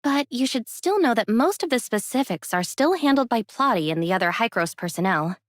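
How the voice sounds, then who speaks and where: A woman's voice: fairly high pitch, lively intonation, brisk, no room sound, studio quality, close to an everyday voice. A woman playing an easygoing, friendly young heroine in an anime game, speaking casually with a light teasing edge.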